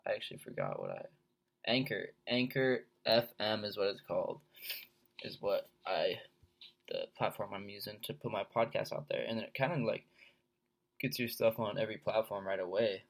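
Young guy, blocked nose from a cold, low gravelly voice, rambling podcast host. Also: big pitch swings, moderate pace. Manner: rambling, filler-heavy, laid-back. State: stuffed-up head cold, sore throat. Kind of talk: casual solo podcast chat.

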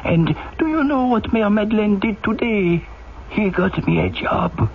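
An actor playing a humble injured old carter, halting and plaintive, in old-time radio style. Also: male voice, sits high, expressive. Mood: amazed, grateful. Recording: muffled old radio drama, faint noise.

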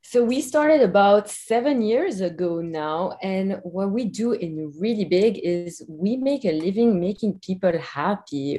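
A woman's voice: medium pitch, mellow. A woman from Belgium with a Belgian accent, a company co-founder chatting as a podcast guest in an upbeat, chatty way. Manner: upbeat, chatty, laughs easily. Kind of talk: podcast interview.

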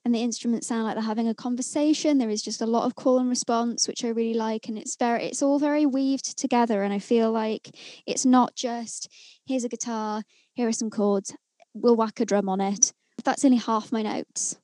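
Chatty high-voiced young woman. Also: steady tone, moderate pace, dark tone, no room sound. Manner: chatty, enthusiastic, reads from notes on her phone. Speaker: podcast co-host reviewing songs. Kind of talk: casual music review chat.

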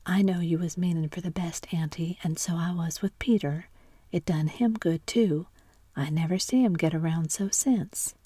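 A woman's voice: lowish voice, moderate pace, mellow, husky, no echo. A woman whispering the lines of a mischievous young boy in a storybook reading. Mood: sly.

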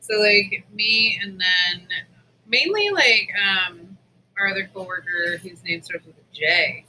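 A woman's voice: mid-range voice, lively intonation, takes it slowly, bright, forceful tone, a little hiss or hum, poor audio. A woman co-hosting a casual chat, talking in loose slang with frequent swearing. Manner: slangy, peppered with "like", swears freely. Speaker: podcast co-host.

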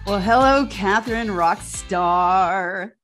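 A woman's voice: mid-range voice, slow pace, music in the background. Gushing, enthusiastic woman podcast host. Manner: effusive, enthusiastic. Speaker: podcast host and fitness coach. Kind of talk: upbeat podcast hosting.

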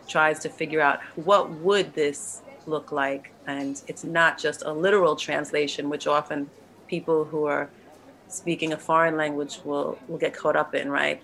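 A woman's voice: deep voice, gravelly, a bit bright, rough recording. A woman interviewing a guest in a curious, engaged way. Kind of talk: conversational interview.

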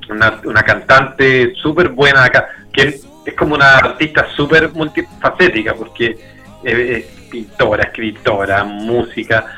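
Bright-voiced Chilean man, colloquial and candid. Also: mid-range voice, low-quality recording. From Santiago, Chile. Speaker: musician, interview guest. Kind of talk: casual conversational interview.

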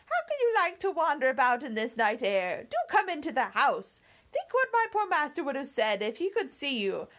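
A woman's voice: high-pitched, very animated, moderate pace, fairly bright tone, voice pushed up. A woman voicing a fussy, talkative old female housekeeper who chatters on in a doting, well-meaning way. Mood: fussing.

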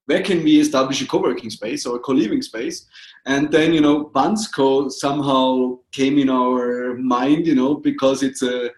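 In an echoey room, an Austrian man with a German-speaker's accent answers interview questions casually. His voice is on the high side for a man, and he moves between halting pauses and quick bursts. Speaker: interview guest, coworking co-founder. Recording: roomy, echoey sound.

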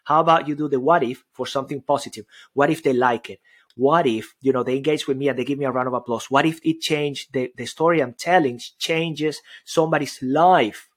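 Energetic Venezuelan-accented man giving speaking tips. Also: medium pitch, brisk, husky. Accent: Venezuelan Spanish. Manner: energetic, emphatic, stacks repeated phrases. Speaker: podcast co-host. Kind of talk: motivational speaking tips on a live audio show.

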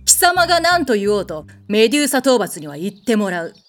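A haughty goddess in a comedy audio drama, gruff in manner and quick to snap. Her high voice swings widely in pitch, brusque and commanding, with music behind. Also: sing-song. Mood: imperious. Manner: brusque, imperious, rough masculine phrasing.

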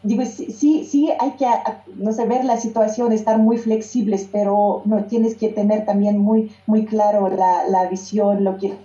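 A woman's voice: mid-range voice, fairly even intonation, unhurried, hardly any pauses, dark tone. A woman with a Russian accent, a native Russian speaker, who is a singer and music teacher and speaks hesitantly, often restarting and searching for words. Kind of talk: interview conversation. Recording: roomy echo, dull lo-fi sound.

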